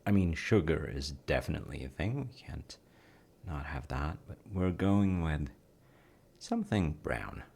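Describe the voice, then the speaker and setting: A man playing a gentle, playful dad in a cozy baking audio roleplay, talking casually and softly to the listener. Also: extremely low pitch, lively intonation, a little slow, vocal fry, breathy edge, full character voice. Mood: cozy, gently playful. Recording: faint noise, kitchen sounds.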